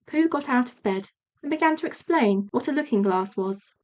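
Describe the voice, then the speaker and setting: A woman reading a children's storybook as an audiobook narrator, measured and expressive. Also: on the high side. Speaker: audiobook reader.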